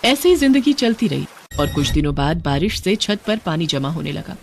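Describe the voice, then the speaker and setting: Very expressive woman storyteller. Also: low voice, moderate pace, vocal fry, slight background noise. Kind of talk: scripted moral story narration.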